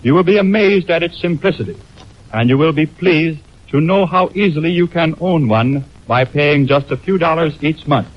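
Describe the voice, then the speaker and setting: An American man announcing on 1920s radio, reading a formal script in a measured way, on a muffled, noisy old broadcast recording. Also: a little high. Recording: muffled, noisy 1929 radio aircheck on film.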